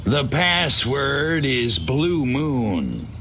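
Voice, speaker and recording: A haughty, sardonic alien invader from a video game. The delivery is drawn-out and menacing, with big swings in pitch. Clear outdoor game noise sits behind the voice. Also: male voice, very animated, a bit bright, exaggerated voice. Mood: deliberate, probing. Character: arrogant male alien invader posing as a human, contemptuous and sardonic.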